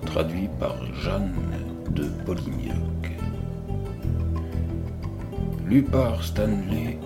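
A man with an extremely deep, gravelly voice reads a classic detective story aloud. He takes his time, pacing each phrase slowly and deliberately. Clear background music plays under the narration. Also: animated. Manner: slow, deliberate reading. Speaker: audiobook reader. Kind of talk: scripted literary narration. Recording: reading over background music.

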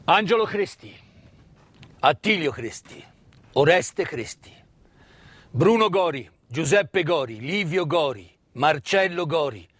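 A man delivers a theatrical monologue as a storyteller, solemn and emphatic, with deliberate pauses and repetitions. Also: on the high side, animated, fairly bright tone, husky, dry recording. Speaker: stage actor and storyteller.

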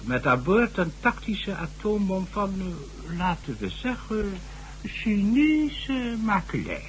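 An actor playing a shrewd, authoritative high-ranking government official in a radio drama, talking in a smooth, calculating way. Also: male voice, big pitch swings, takes it slowly, slight background noise, heavily acted, rough recording. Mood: scheming. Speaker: statesman addressed as excellency. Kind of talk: 1970s radio drama acting.

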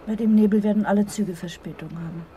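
A woman's voice: fairly even intonation, fast, dark tone, natural voice. Woman playing a needling wife, over train noise. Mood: matter-of-fact. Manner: matter-of-fact, needling. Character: thrifty, sharp-tongued wife bickering on a train. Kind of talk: German radio play acting. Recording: train rumble noise behind the voice. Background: moving train ambience.

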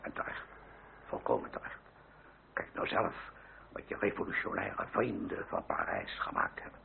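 An actor playing a grumbling, soft-hearted old uncle in a radio drama, speaking haltingly and emotionally with a gravelly voice. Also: male voice, low-pitched, huge pitch swings, exaggerated voice. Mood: gruff, tender. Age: older. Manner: halting, emotional, with sighs and interjections. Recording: crackly, narrow-band old radio recording.

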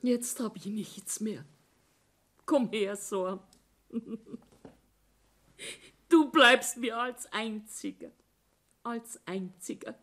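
Older grieving widow in old radio play, slow, plaintive, clingy mum. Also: female voice, fairly high pitch, a bit bright, exaggerated voice. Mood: clinging grief. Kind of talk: 1960s German radio play acting.

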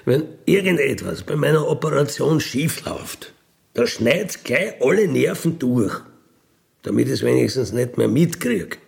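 An older man with an Austrian accent telling a reflective personal story in a halting, colloquial way with little questioning tags. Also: mid-range voice, vocal fry, a little mellow. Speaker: former prisoner telling their life story. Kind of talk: reflective autobiographical monologue.

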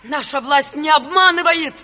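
A woman's voice: sits high, normal speed, bright, forceful tone. Piercing, fiery Bolshevik woman agitator. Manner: impassioned, declamatory, emphatic. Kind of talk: 1970s Soviet radio drama acting. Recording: echoing hall with crowd murmur.

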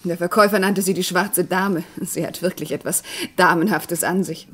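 An actress playing a shrewd, guarded art importer in a German radio crime drama, composed, formal and evasive. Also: female voice, lowish voice, steady tone, normal speed, fairly bright tone, slightly rough.

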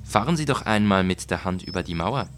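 A male reader plays a vengeful villain from a gothic story. His low voice is mock-courteous and deliberate, calm yet menacing. Music sits behind the words. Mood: mock courtesy. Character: coldly polite, mocking male avenger, calm and menacing. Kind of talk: dramatic audiobook character voice.

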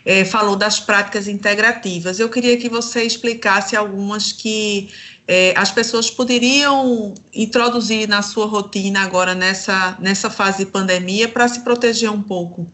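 A Brazilian woman hosts a radio interview. Her voice is bright and clear-edged at an average pitch. She phrases questions searchingly, pausing to find the right words. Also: steady tone. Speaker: radio show host interviewing guests.

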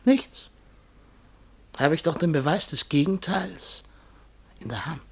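Servile, sly barber. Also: male voice, on the high side, slow pace, vocal fry, breathy edge, exaggerated voice. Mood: sly, defensive. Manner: deferential, pleading, evasive. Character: servile, sly barber, humbly protesting to his master. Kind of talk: German radio play acting.

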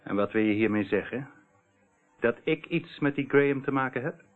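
A man's voice: mid-range voice, normal speed, close to an everyday voice. An actor playing a smug, slippery criminal who feigns innocence in a radio play, theatrical in his delivery. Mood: cold, defiant. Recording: tinny, narrow-band old radio recording.

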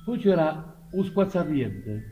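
A man with a southern Italian accent telling folk stories in a theatrical, unhurried way with dramatic pauses. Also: on the high side, very animated, muffled recording, a little hiss or hum. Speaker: stage performer telling childhood stories. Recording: music behind the voice. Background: musical instrument.

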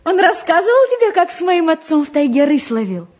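A woman acting an earnest, caring, nervous young provincial student with a husky voice in a 1950s radio play. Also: very high-pitched, big pitch swings, fairly quick, a little mellow. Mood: friendly. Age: young adult.

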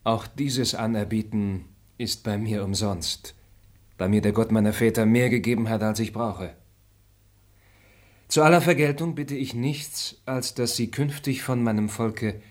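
An actor playing a dignified young male traveller who speaks in a calm, measured, courteous way. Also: fairly low pitch, lightly acted. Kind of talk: radio play acting.

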